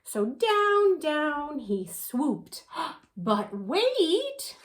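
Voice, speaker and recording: Female children's story reader: higher-pitched, unhurried, very expressive delivery. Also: a little hiss or hum. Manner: animated, unhurried, dramatic emphasis. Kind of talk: expressive picture-book read-aloud.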